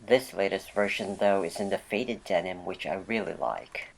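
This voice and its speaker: A vlog host narrating a product unboxing with a voice left raspy by a lingering cold. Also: low voice, steady tone, normal speed, rough recording.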